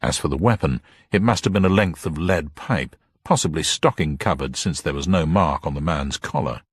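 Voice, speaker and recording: A man narrating a detective story as a scripted, dramatic reading, with a husky voice. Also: a bass voice, lively intonation, normal speed, dry recording, clean studio sound.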